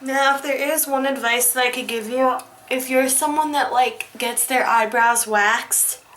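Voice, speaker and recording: A young woman in a casual skincare tutorial, chatty with many fillers, her voice a little hoarse from being sick. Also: high voice, fairly even intonation, measured pace, fairly bright tone, a little hiss or hum. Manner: chatty, demonstrating while talking, many ums and likes. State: slightly hoarse, recovering from illness. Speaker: beauty vlogger.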